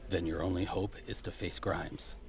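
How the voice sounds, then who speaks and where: A man playing a gruff, world-weary cop and loyal partner in a noir audio drama, with a gravelly voice. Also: sits low, steady tone, moderate pace, natural voice. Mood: grim warning.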